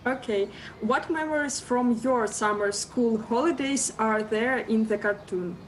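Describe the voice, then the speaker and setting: A woman's voice: a little high, unhurried, rough recording. A woman working as an interviewer, putting prepared questions to her guests. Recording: noticeable background noise.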